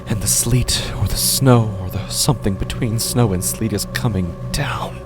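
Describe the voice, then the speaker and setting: A man narrating a first-person horror story in a halting, uneasy way, often breaking off mid-sentence, over a music score. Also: fairly low pitch. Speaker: podcast narrator.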